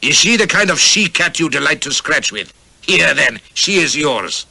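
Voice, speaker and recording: A wild Afghan khan for a storybook, a wicked tyrant who goads and roars. The voice is pushed high above a normal speaking register, loud and piercingly forceful. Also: male voice, sing-song, moderate pace, creaky, dry recording, full character voice. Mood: wicked goading. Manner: loud, roaring, goading. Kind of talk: audiobook character acting.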